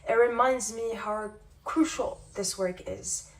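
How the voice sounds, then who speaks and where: A woman's voice: medium pitch, takes it slowly, recorded from a distance, poor audio. A woman giving a determined, impassioned personal statement as a feminist advocate.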